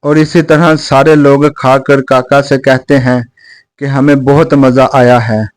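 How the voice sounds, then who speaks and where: A man's voice: mid-range voice, little pitch movement, slow pace, no echo. A man narrating a scripted moral story as a storyteller.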